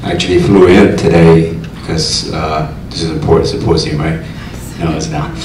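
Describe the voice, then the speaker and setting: A man's voice: rumbling low, little pitch movement, moderate pace, a little mellow. A man from Ethiopia, a health practitioner, introducing himself to a room with a joke or two. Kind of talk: self-introduction to a group. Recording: echoey room, distant mic, faint noise.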